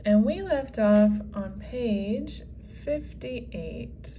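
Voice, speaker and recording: A woman's voice: fairly high pitch, animated, extremely slow, warm tone, slight background noise. A woman reading a children's comic aloud, lightly acting out the dialogue. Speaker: read-aloud host.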